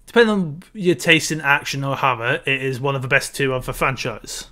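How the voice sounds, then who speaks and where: A man's voice: mid-range voice, brisk, pushed and bright. A man in his thirties co-hosting a casual film chat from home, making dry, wry remarks. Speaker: podcast co-host. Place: home room, indoors.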